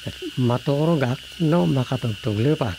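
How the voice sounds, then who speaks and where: Gravelly Ilocano small-town mayor played by an actor. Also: male voice, mid-range voice, normal speed, natural voice. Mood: grumbling, comic. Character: newly elected small-town mayor, folksy comic husband. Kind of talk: radio drama comedy acting. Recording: noisy background with cricket-like ambience.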